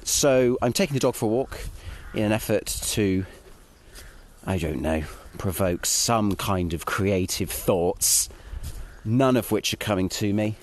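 A man's voice: on the low side, a little slow. A British man recording a casual audio diary, talking in a wry, self-deprecating and hesitant way. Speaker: podcast writer and host. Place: outdoors on a walk.

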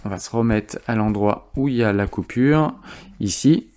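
A man's voice: on the low side, moderate pace, a little mellow. Informal man presenting a tutorial. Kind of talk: informal software tutorial, demonstrating step by step.

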